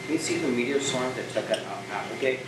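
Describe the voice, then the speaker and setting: A young man on stage playing a brooding, wistful spaceship captain facing death, in halting, reflective monologue. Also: mid-range voice, natural voice. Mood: wistful, subdued. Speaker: student stage actor. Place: school theatre stage. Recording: echoey stage, distant mic, clear background noise.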